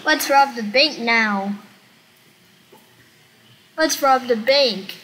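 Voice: medium pitch, very slow, pushed and bright, slight background noise, rough recording. A young-sounding gamer chatting casually in a live roleplay game, directing playmates with short calls. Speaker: gamer leading a Roblox roleplay.

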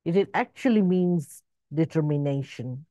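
A woman in her sixties, born in Zambia and raised in Zimbabwe, giving a slow reflective spiritual talk over a video call. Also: lively intonation, dark tone, no room sound, dull audio. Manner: slow, measured, rising and falling in pitch. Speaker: spiritual speaker. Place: indoor room with curtains. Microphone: video call webcam.

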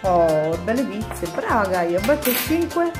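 A woman's voice: medium pitch, very animated, slow pace, music in the background. A woman in her home kitchen, patiently guiding her young daughter through a recipe and praising each step. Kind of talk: casual home cooking video.

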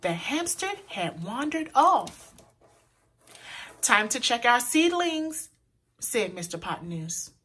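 A woman reading a children's picture book aloud in an expressive, deliberate way, as a storyteller for young listeners. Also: a little high, breathy edge. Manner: pauses to point out words to young listeners; animated, deliberate delivery for children.

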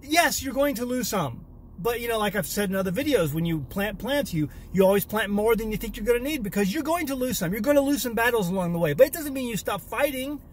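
A man's voice: very high-pitched, animated, quick pace, a little hiss or hum. A man in his thirties hosting a homesteading channel, talking to a phone held at arm's length in a parked car, emphatic and repeating words for emphasis. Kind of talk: casual selfie vlog rant. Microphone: phone or camera at arm's length.

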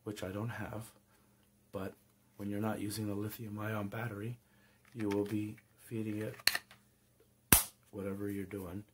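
Low-voiced man casually demoing a gadget. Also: a little slow, noisy background. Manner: relaxed, hesitant, thinking aloud. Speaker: product reviewer. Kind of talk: casual product demo.

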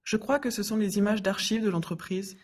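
Breathy woman reading French lesson dialogues. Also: medium pitch, fairly quick, a little mellow. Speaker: dialogue reader for French learners. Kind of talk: scripted learner dialogues.

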